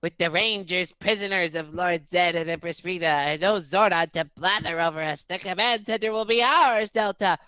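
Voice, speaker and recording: A woman's voice: lowish voice, normal speed, few pauses, sharp tone, clear, resonant tone, no room sound, pitched below the performer's own voice. A woman voicing an evil scheming male robot in a hammy, gloating villain voice.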